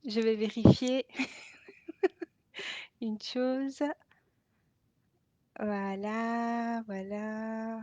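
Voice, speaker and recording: Chatty woman, high voice, dull dry sound. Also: steady tone, very slow, long pauses, mellow, no echo. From Belgium. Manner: hesitant, many fillers and restarts, frequent laughter. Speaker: laughter yoga host. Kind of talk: casual live stream talk. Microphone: added microphone. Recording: dull lo-fi live stream audio.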